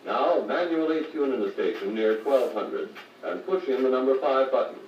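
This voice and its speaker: An American actor playing a patient car radio service instructor, explaining methodically in a 1950s training filmstrip style. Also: male voice, mid-range voice, lively intonation, moderate pace, close to an everyday voice. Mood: patient, instructive. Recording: muffled, noisy, echoey 1950 record playback, distant mic.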